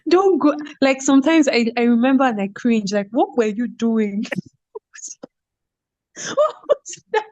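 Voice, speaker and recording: Animated Nigerian woman telling school stories. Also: a little high, a little slow, dry recording, dull audio. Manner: animated storytelling, with frequent laughter and "do you get?". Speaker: podcast guest, former head girl. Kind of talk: casual conversational interview.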